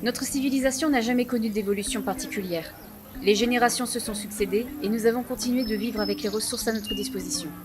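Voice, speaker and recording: A woman playing a proud, solemn female chief of a primitive village clan in a fantasy audio drama, speaking in formal, measured phrases. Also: a little high, natural voice. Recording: voice under clear background music.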